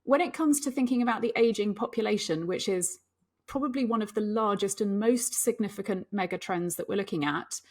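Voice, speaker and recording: A woman's voice: mid-range voice, a little fast. A middle-aged woman who grew up in Hong Kong, a guest occupational psychologist, speaking frankly in a podcast interview with dry asides.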